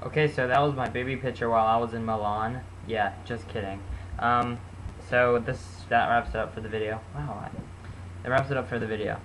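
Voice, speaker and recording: A man's voice: mid-range voice, steady tone, a little fast, a bit bright, low-quality recording. Brief: teen boy, rambling and self-mocking, webcam mic, slight hiss. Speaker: vlogger. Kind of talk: casual webcam vlog.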